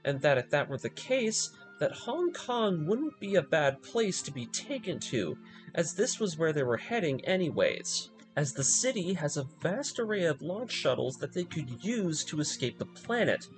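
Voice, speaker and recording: A man hosts a video essay, retelling a story from a script with dramatic emphasis. His voice sits a little high and moves up and down freely, with pointed pauses for weight. Faint music plays behind him. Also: sing-song. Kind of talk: scripted story recap narration with opinionated asides.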